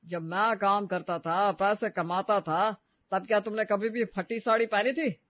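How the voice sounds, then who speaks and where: A man voices an elderly grandfather, reasonable and gentle, in an audio story. His pitch is high for a man, and the recording is dry and slightly muffled. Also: fairly even intonation, measured pace, dry recording. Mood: resigned. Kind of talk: dramatised dialogue in an audio story.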